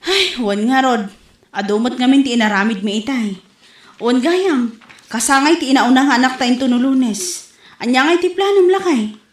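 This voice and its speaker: A woman's voice: sits high, lively intonation, normal speed. A woman playing a hardworking, patient younger sister and young family's mother, speaking earnestly in a comedy audio drama. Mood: agreeable. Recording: echoey, roomy sound.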